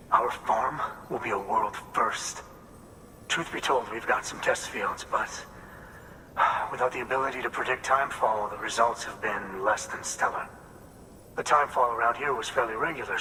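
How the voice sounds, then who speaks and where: An earnest farmer-scientist from a video game, full of hope for his fields. His voice sits low and sounds strikingly bright and forceful. A faint hiss sits under him. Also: in a large hall, low-quality recording. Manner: earnest, hopeful. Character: hopeful middle-aged male farmer-scientist. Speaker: farmer and researcher.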